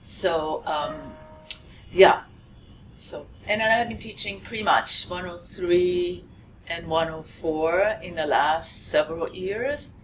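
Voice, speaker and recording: A woman's voice: lowish voice, slow pace. A woman whose first language is Japanese talks in a chatty, easygoing way, laughing often. She introduces herself as a counselor and language instructor. Faint background noise runs under her voice. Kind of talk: casual self-introduction.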